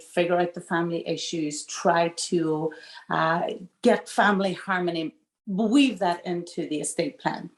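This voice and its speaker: A woman, a lawyer telling stories warmly on a video call from home. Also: on the low side, reverberant. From Northern Ireland. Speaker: estate planning lawyer, guest. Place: home room with shelves. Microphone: video call webcam.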